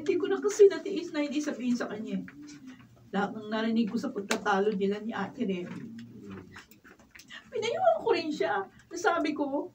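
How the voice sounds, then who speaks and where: A caring younger sister with a high, emotional voice. She is pleading and distressed, in the manner of Filipino radio drama. The sound is slightly distant, with faint noise behind her.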